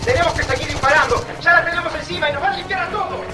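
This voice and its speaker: A woman's voice: high voice, rapid-fire, close to an everyday voice. A woman playing a fierce, foul-mouthed resistance fighter barking orders in a radio drama, with an Argentine Spanish accent. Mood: fierce, commanding. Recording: roomy, loud music and gunfire.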